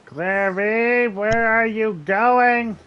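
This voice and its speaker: Slow-paced man streaming a game. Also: sits high, fairly even intonation, dull audio, slight background noise. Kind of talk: casual chat while streaming a game.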